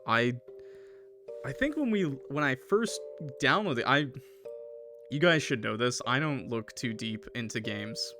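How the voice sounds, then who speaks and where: Guy streamer talking to his chat, kinda halting n self-deprecating, music going behind him. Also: medium pitch, expressive. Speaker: streamer playing and reviewing a game. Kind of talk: casual livestream chat, reacting to a game and viewer comments.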